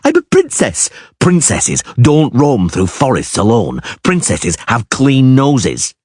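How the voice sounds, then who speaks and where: A man voicing a haughty, spoiled little princess girl who talks in a shrill, imperious, petulant way. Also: wildly swooping pitch, slow pace, a little mellow, no room sound, studio quality, full character voice. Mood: indignant.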